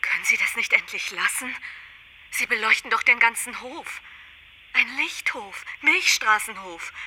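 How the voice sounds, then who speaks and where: A woman's voice: on the high side, lively intonation, a little slow, pushed and bright, slight background noise, exaggerated voice. A woman playing an exasperated, sleep-deprived neighbour in a radio play, tense and complaining. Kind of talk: dramatic radio play acting.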